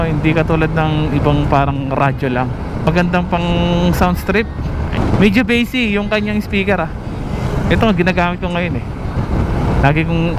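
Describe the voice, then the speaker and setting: A man's voice: fairly high pitch, very noisy. A man giving a casual, conversational vlog review, often adding little tag questions. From Philippines. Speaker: motovlogger reviewing gear. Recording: loud music under the voice. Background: music.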